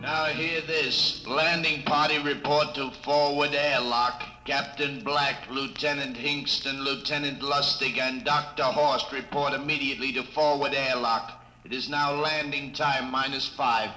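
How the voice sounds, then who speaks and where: Sharp, echoing spaceship announcer. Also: male voice, mid-range voice, flat delivery, unhurried, few pauses, faint music behind. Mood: urgent, formal. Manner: formal, drilled, announcing. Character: brisk, official spaceship intercom announcer. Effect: public address system. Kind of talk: 1950s radio drama acting. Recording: echoey 1950s radio recording.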